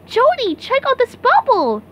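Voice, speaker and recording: A woman voicing an excitable, naive young male sea sponge in an amateur cartoon comic dub. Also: very high voice, dull audio, pitched above the performer's own voice, exaggerated voice. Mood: playful.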